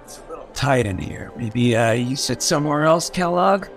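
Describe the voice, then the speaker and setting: An actor playing a roguish, wary, wisecracking mayor in a radio drama, casual and sly. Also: male voice, mid-range voice, expressive, normal speed, faint music behind, natural voice. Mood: wary, exasperated.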